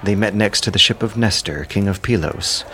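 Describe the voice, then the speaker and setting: Deep-voiced man narrating an epic. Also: sharp tone, slight background noise. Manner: measured, theatrical storytelling. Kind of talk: dramatic scripted epic narration. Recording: music under the narration. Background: music.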